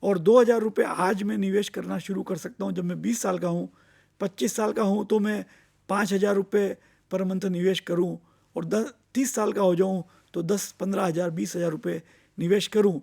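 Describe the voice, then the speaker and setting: Male finance educator, Indian accent, high-set voice with creak, deliberate explanatory delivery that repeats key points. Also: steady tone, darkish tone. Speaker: finance expert giving investor education. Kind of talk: explanatory lecture mixing Hindi and English.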